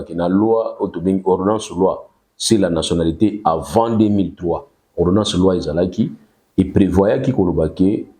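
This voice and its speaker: A man's voice: deep voice, warm tone. A Congolese man with a Congolese accent, a guest on a political talk show, explaining at length and mixing French and Lingala. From Congo-Kinshasa.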